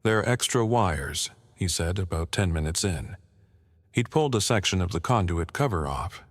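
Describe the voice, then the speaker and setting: Deep voice guy narrating a creepypasta, matter of fact, measured, short clipped sentences. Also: lively intonation. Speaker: horror story narrator. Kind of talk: scripted narration of a first-person creepypasta.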